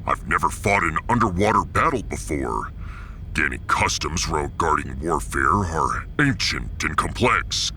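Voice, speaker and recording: A man's voice: extremely low pitch, lively intonation, measured pace, bright, forceful tone, slight background noise, voice pushed down, exaggerated voice. A man voicing a big, proud, solemn alien-world warrior with grandiose, ceremonious speech in a science fiction audiodrama.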